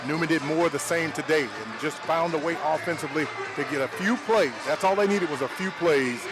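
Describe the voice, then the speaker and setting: A man's voice: on the high side, a little fast. A man giving conversational football analysis as a color commentator, plain-spoken and folksy, repeating his points.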